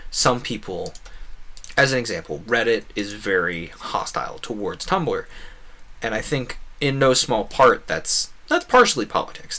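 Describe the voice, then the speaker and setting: A man's voice: on the low side, bright, forceful tone. A man chatting casually as he streams a video game, musing aloud and often rephrasing himself mid-thought. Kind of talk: casual rambling stream commentary over gameplay.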